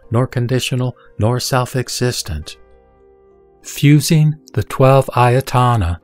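A man reading Buddhist scripture from a script in a measured, didactic manner. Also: medium pitch, darkish tone. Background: background music.

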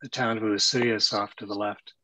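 Bright-voiced man presenting casually. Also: on the low side, steady tone, brisk, no echo, low-quality recording. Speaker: presenter at an online astronomy meeting. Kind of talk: casual commentary on shared images. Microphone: video call microphone.

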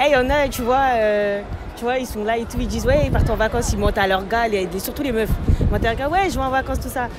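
A woman's voice: a little high, fast, hardly any pauses, background noise. A woman in her twenties chatting in casual slang, telling things vividly with acted-out quotes. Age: 20s. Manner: animated storytelling with acted-out quotes. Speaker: street interviewee. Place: busy city square, outdoors. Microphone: handheld mic close by.

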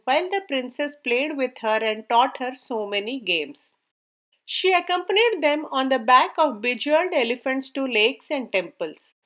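A woman narrating a storybook tale in a measured, careful read-aloud manner. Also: high voice, fairly bright tone.

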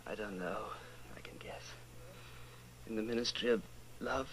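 An actor playing a broken, pleading prisoner under torture in an old-time radio drama, anguished and faltering. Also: male voice, lowish voice, steady tone, measured pace, fairly bright tone, slight background noise, low-quality recording. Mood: weak, broken.

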